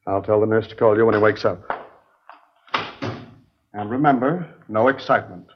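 An actor playing a stern, obstructive doctor with a husky voice and an insistent manner, in a 1950s radio drama. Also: male voice, lowish voice, moderate pace, noisy background, close to an everyday voice. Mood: stern, indignant. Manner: stiff, authoritative, insistent. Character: stern, obstructive male doctor guarding his patient. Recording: dull old radio recording.

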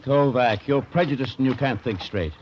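An actor playing a reasonable gentleman taking charge in a 1940s radio drama, authoritative yet conciliatory. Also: medium pitch, normal speed, natural voice. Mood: commanding. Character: reasonable, take-charge gentleman acting as leader. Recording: muffled, noisy old radio recording.

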